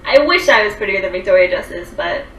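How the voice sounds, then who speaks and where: A woman's voice: medium pitch, lively intonation, quick pace, fairly bright tone, roomy sound, recorded from a distance, slight background noise. A woman in her twenties chatting casually on a livestream, rambling with lots of fillers as she answers viewer comments. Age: 20s.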